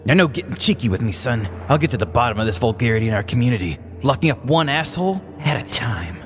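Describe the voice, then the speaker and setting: A woman's voice: rumbling low, expressive, fast, big-room sound, background noise, pitched below the performer's own voice. A woman voicing a bossy, self-important small-town male police deputy with a stern, officious manner in a comedic true-crime skit. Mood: gloating, emphatic.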